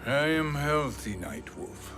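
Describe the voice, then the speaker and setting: An actor playing a sly older sorcerer in a fantasy video game, speaking in a measured, silky and insinuating way. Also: male voice, on the high side, big pitch swings, music in the background. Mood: smooth, urging. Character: sly, smooth-tongued older male sorcerer, scheming. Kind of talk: cinematic fantasy game acting. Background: cinematic score.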